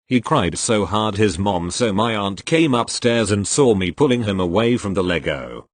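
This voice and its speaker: A man reading online posts aloud as the regular reader of the channel, in an expressive storytelling way. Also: on the low side, dry recording.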